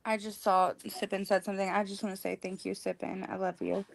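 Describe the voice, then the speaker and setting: Playful gravelly-voiced woman. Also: mid-range voice, little pitch movement, fairly quick. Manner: playful, teasing. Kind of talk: casual chat on a live stream.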